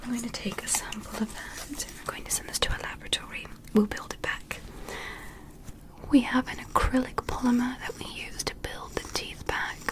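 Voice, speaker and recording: A woman voicing a polite, curious alien doctor in a soft, breathy ASMR whisper, methodical and reassuring as she examines a patient. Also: on the high side, flat delivery, lightly acted, poor audio. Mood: curious, clinical. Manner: slow, methodical, reassuring, clinical. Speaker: ASMR roleplay performer. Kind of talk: whispered ASMR medical roleplay.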